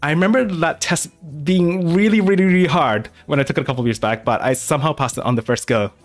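A man's voice: fairly high pitch, quick pace, faint music behind. A man hosting a live question-and-answer stream, talking casually with many fillers and restarts. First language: English. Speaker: art channel host.

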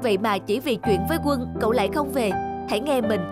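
A woman acting an emotive, high-voiced young cartoon woman. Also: fast, lightly acted. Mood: earnest, caring. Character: young office women friends, one lovesick, one caring. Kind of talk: animated film voice acting. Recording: loud music behind the voice. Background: loud music.

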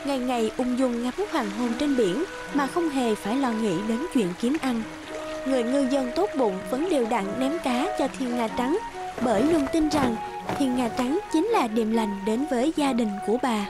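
Woman reading a fairy tale, high voice, music playing behind her. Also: normal speed. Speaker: narrator. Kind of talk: scripted fairy-tale narration. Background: background music.

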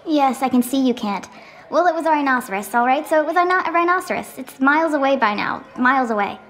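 A woman's voice: sits high, lively intonation, quick pace, a bit bright. A woman acting in a radio play as a meek, muddled drinker, a man who speaks hesitantly and defensively. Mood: apologetic.

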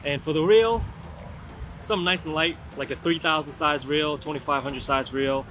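A young man vlogging while fishing on an outdoor sea pier, chatty and excited, talking to viewers into a camera mic. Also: on the high side, moderate pace, soft background music. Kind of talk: casual vlog narration while fishing. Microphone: camera-mounted shotgun mic.

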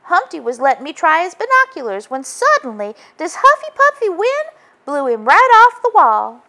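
Woman putting on a high, fretful Miss Muffet. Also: huge pitch swings, normal speed, pushed and bright, full character voice. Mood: fretful explaining. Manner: fretful, dramatic. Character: prim, fretful Little Miss Muffet, young nursery-rhyme girl.

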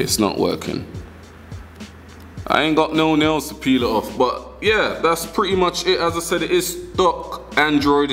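Young male tech vlogger, casual chatty delivery, mid pitch, indoor table setup. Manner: chatty, addresses viewers as guys. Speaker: tech channel host. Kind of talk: casual unboxing vlog. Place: indoor room at a wooden table.

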